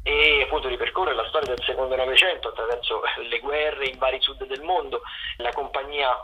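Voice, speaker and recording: A man hosting a radio programme, running through upcoming shows unscripted, in a halting, improvised way with drawn-out words and self-corrections. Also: mid-range voice, fairly even intonation, sharp tone. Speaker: radio host presenting theatre listings. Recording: tinny, phone-like radio sound.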